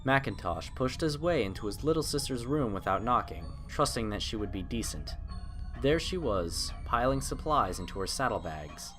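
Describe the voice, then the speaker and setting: A man's voice: mid-range voice, animated, moderate pace, fairly bright tone. A man narrating a horror story in a dramatic, scripted style. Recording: prominent music under the narration. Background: music.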